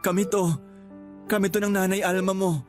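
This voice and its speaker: A sorrowful father played by a man speaks in a subdued way in a radio drama. Music plays clearly behind his voice. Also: high voice, flat delivery, normal speed, close to an everyday voice.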